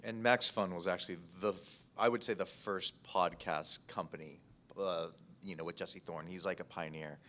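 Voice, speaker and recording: A man's voice: sits low, fairly even intonation, normal speed, a bit bright. An American man speaking informally and conversationally as a podcast producer on a panel. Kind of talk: casual panel discussion.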